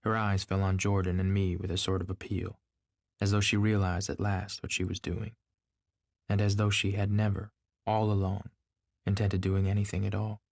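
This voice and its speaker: Male audiobook narrator, fairly low register, literary scripted read, very dry studio sound. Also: monotone, moderate pace, creaky, no room sound.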